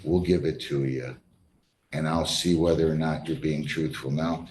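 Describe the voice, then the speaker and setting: A man with a deep, dark-toned voice presides as a judge in a reverberant courtroom. He questions and admonishes firmly, repeating himself and cutting in. Faint noise sits behind him. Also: fairly even intonation, normal speed. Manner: stern, firm, interrupts and repeats himself.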